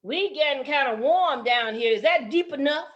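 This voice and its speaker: A woman voicing squeaky, nosy girl frogs who call out in a folk tale. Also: expressive, normal speed, lo-fi sound. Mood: eager. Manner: shrill, yelling out.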